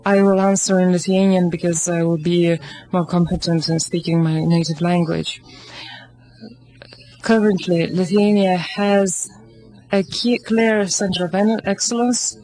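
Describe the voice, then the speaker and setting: Woman interpreter, lowish voice, halting, lots of uhs and restarts. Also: fairly even intonation, dark tone, rough recording. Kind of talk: live consecutive interpreting into English.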